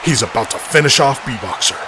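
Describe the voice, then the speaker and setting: A man's voice: medium pitch, lively intonation, brisk, background noise, natural voice. A man playing a hyped, slangy wrestling commentator who narrates each blow of the fight. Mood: focused. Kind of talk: sports commentary.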